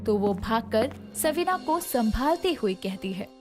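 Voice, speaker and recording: A woman narrating a scripted moral story in a dramatic, expressive way, over soft background music. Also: a little high, unhurried.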